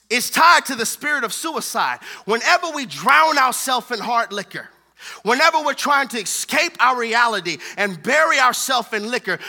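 A man's voice: extremely high pitch, sharp tone. An American man preaching a fervent sermon with emphatic, repetitive rhetorical phrasing.

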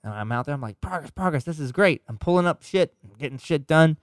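A man's voice: a little high, lively intonation, fast talker, no room sound. A young man chatting casually on a podcast, telling loose stories, laughing easily and swearing a lot. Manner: loose, laughing storyteller who swears often. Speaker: podcast co-host.

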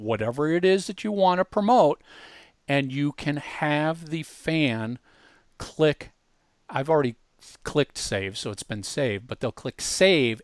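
Man giving a dry, close software walkthrough. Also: a little high, no room sound. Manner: informal, explanatory, step by step. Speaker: host demonstrating a music marketing tool. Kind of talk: casual screen-share tutorial.

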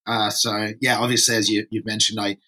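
Bright-voiced man from France, rambling. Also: fairly low pitch, little pitch movement, fairly quick. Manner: rambling, repeats words while thinking. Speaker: podcast guest, surf environmentalist. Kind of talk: casual podcast interview.

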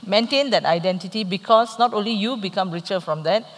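A woman's voice: mid-range voice, fairly quick. A middle-aged woman with a Malaysian accent, speaking candidly and reflectively on a live conference panel. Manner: candid, reflective, with playful asides. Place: conference hall, on stage. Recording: reverberant hall.

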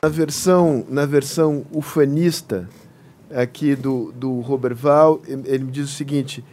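A man with a Brazilian Portuguese accent introducing a speaker in halting speech full of restarts. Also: mid-range voice, fairly even intonation, a crowd in the background, background noise. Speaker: conference moderator.

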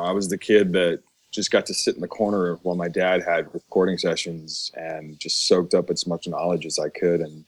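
An American man from Chicago talking as a podcast guest in an informal, earnest and agreeable way. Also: sits low. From Chicago, United States. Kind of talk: casual interview chat.

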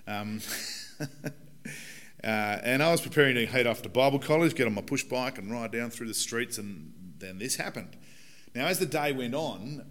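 A man in his forties, a pastor with a croaky, hoarse voice, giving a conversational and earnest sermon in a church. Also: medium pitch, a bit bright. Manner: conversational, earnest preaching with fillers. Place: church hall.

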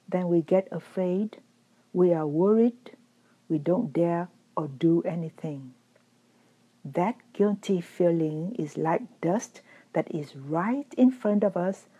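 A woman teacher giving a calm, measured dharma talk, her voice still recovering after being lost. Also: fairly low pitch, slightly rough, low-quality recording. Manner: calm, measured, gently explanatory. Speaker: Buddhist teacher. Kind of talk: slow reflective dharma talk.

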